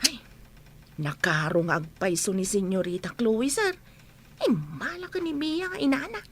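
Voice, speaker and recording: A woman's voice: medium pitch, big pitch swings, a little slow, a bit bright, a little hiss or hum. A woman playing a gossipy young housemaid in an Ilocano radio comedy drama, with an Ilocano accent. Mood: flustered, deferential.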